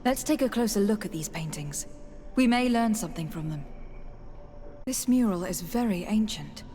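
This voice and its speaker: A woman's voice: mid-range voice, animated, normal speed, warm tone, a little hiss or hum, lightly acted. An actress playing a composed, diplomatic noblewoman adventurer in a video game, polite and articulate. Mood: curious, studious. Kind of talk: dramatic video game acting.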